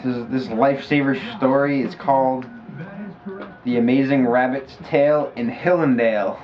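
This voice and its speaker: A man casually reading a children's story aloud, stumbling and halting as he goes. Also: medium pitch, steady tone, crowd noise. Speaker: amateur story reader. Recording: muffled lo-fi home recording, background noise.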